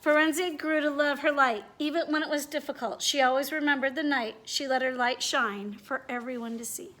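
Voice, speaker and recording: A woman's voice: high voice, fairly even intonation, moderate pace, fairly bright tone. A woman hosting a children's read-aloud and chatty demonstration, speaking directly to young listeners and asking them questions. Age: adult.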